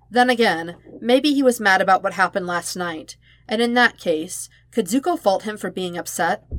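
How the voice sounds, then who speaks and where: A woman reading fan fiction aloud in an expressive audiobook style, with emphatic, dramatic phrasing. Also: lowish voice, dry recording. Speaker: podfic narrator.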